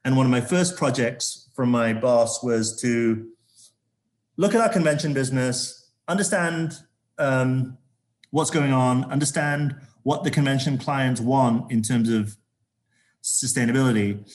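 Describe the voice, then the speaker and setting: A man from Zambia, a corporate sustainability executive, talking as an interview guest with a halting delivery full of restarts. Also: medium pitch. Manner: halting, many restarts and fillers.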